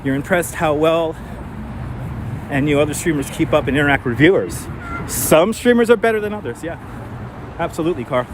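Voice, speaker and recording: A man with a mild New York accent, his voice sitting a little on the high side, chats with his viewers as he walks outdoors. He thinks aloud and often restarts his sentences. Faint city traffic hums behind his clip-on mic. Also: brisk, long pauses. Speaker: live stream host. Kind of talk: casual walking live stream, chatting with viewers. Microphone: wireless clip-on mic. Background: faint street traffic.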